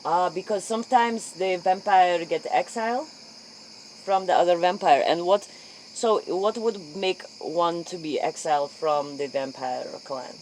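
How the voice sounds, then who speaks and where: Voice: medium pitch, unhurried, darkish tone, lightly acted, rough recording. Performer acting an earnest interviewer in broken English. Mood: probing, earnest. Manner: clipped broken English, dropped articles. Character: earnest, curious late-night interviewer of vampires. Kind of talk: improvised spoof interview role play. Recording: faint noise, chirping insects.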